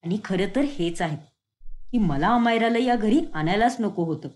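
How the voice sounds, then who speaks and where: A woman's voice: medium pitch, expressive, moderate pace, darkish tone, voice pushed down, natural voice. A woman voicing a harried young man caught between two women, pleading and exasperated.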